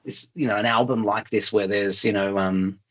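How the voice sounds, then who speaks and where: A man's voice: on the low side, fairly even intonation, normal speed. A man hosting a casual interview, thinking aloud as he feels his way through winding, tentative questions. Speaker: interviewer, host. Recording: slightly dull, lo-fi conversation audio.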